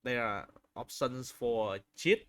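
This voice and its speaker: A man giving unscripted video commentary, halting often as he searches for words and repeats phrases. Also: medium pitch, lively intonation, a bit bright, no room sound. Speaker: video host reviewing game screenshots.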